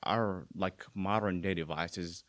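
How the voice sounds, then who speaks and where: A young man, a native Arabic speaker with an Arabic accent, talking casually as an interview guest, relaxed and full of fillers and restarts. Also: low-pitched, slow pace, no echo. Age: young adult.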